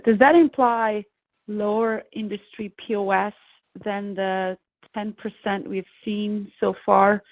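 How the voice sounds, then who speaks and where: A woman's voice: mid-range voice, steady tone, slow pace, dry recording. A woman calling in as a financial analyst, politely putting probing questions on an earnings call. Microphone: phone call. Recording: dry, thin phone line.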